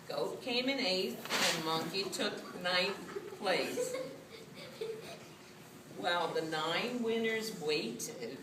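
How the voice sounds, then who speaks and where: A woman reading a children's story as scripted narration, with an expressive storytelling delivery. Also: on the low side. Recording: roomy, distant mic, faint noise.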